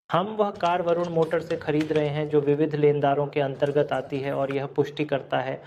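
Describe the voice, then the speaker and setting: A man teaching an accounting software tutorial step by step, often checking in with the learner. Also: on the high side, muffled recording. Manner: explanatory, step by step, frequent "ठीक है?" check-ins. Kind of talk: screen-recorded software tutorial.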